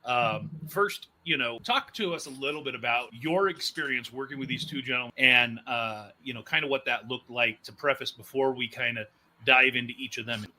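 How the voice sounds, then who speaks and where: A man hosting a casual interview show in a chatty, animated manner. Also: mid-range voice, normal speed. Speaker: podcast host and executive producer.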